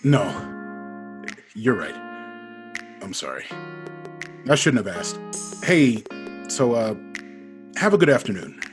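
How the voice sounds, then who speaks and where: Hesitant, slow-spoken rejected suitor. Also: male voice, medium pitch, big pitch swings. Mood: awkward, regretful. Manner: hesitant, apologetic. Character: awkward, rejected man shyly asking a woman out. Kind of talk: contemporary audio drama acting. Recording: guitar music under the voice.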